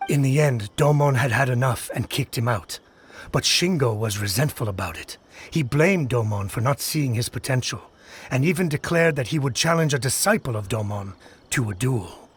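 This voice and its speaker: Scoffing gossip villager, kinda husky. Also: male voice, mid-range voice, expressive, normal speed. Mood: scornful. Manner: dismissive, scoffing. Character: gossipy middle-aged villager man, dismissive.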